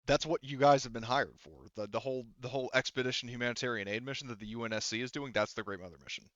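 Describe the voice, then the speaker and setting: A man running a tabletop game as game master, talking casually and piecing his narration together haltingly as he goes. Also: mid-range voice, a bit bright, dry recording, lo-fi sound.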